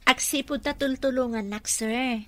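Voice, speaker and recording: A woman's voice: high voice, fairly even intonation, normal speed, natural voice. An actress playing a coy, flustered young female clerk being courted in an Ilocano radio comedy drama, full of questioning exclamations. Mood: puzzled.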